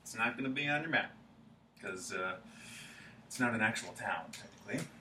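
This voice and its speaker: A man acting an awkward, gentle small-town man in a staged play reading, plain-spoken, halting and apologetic. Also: fairly low pitch, expressive. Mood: matter-of-fact. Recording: roomy stage reading, distant mic, some noise.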